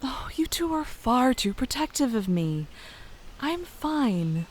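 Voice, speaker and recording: A woman playing a whimsical, sweet but stubborn young druid princess in a fantasy audio drama, gentle and airy. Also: high voice, sing-song, slow pace, slight background noise, heavily acted. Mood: carefree, insistent.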